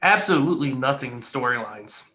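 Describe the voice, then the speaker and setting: Salty man with a bright, forceful voice. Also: medium pitch, expressive, fairly quick. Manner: salty, sarcastic, blunt. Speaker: panelist. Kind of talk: casual opinionated chat.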